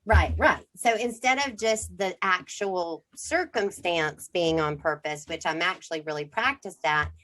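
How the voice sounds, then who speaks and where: Low, unhurried woman telling a story. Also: a bit bright. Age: middle-aged. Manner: storytelling, acts out quotes, restarts sentences. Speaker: podcast co-host. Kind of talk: casual reflective chat.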